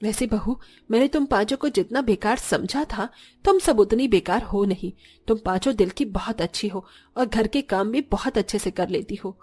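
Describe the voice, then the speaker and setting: A woman's voice: medium pitch, moderate pace, a little mellow, breathy edge, soft background music, lightly acted. A woman playing a middle-aged village mother-in-law in a moral story, plain-spoken and given to complaining. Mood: softened, grateful. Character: grumbling short village mother-in-law, slowly softening. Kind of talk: scripted Hindi moral story voice acting.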